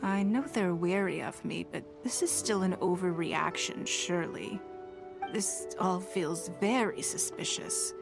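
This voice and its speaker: A shrewd, calculating lady of high standing in a video game. The voice sits fairly low, elegant and authoritative, with music softly behind it. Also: a little slow, gravelly. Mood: thoughtful, decisive. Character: shrewd, commanding noblewoman, poised and calculating. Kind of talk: scripted video game dialogue.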